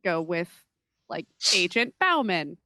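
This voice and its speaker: Bright-voiced woman chatting at a game table. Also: on the low side, very animated, takes it slowly, no room sound, clean studio sound. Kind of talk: casual table chat.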